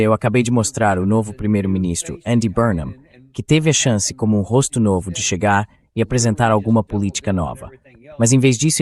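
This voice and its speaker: A man's voice: lowish voice, a little mellow. A man as the translated voice of an interview host, talking through political commentary in a conversational, wordy way.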